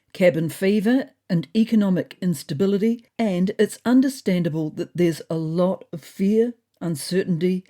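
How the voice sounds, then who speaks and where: A woman's voice: on the low side, dark tone, pitched above the performer's own voice. A woman voicing a bossy, self-important female cat who makes pompous, waspish speeches in a comedy story podcast.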